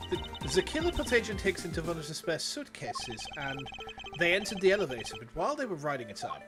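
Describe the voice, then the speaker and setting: A man with a Yorkshire accent reading a composed young male prosecutor's lines, measured and reasoning aloud over game music. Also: a little high, sing-song.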